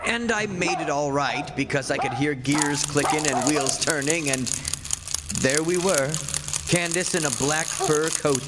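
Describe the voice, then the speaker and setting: A man's voice: a little high, unhurried, heavy background noise, lightly acted. An actor playing a very old, henpecked husband of a witch, narrating a radio play in a wry, grumbling, confiding way. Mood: aggrieved, wry. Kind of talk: stage radio play, 1940s-style narration. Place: theatre stage before an audience. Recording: echoey stage hall, music behind.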